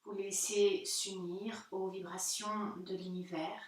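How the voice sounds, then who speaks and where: A woman yoga teacher leads a guided meditation at an unhurried, slow pace. Her delivery is gentle and soothing, with pauses between phrases. She is heard a little far from the microphone in a strongly reverberant room. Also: medium pitch, little pitch movement. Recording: very echoey room, distant mic.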